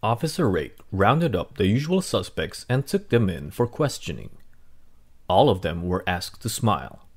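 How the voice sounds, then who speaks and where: A man reading a children's storybook aloud as narrator, in an expressive, dramatic way. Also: lowish voice, normal speed, slightly rough. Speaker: read-aloud host.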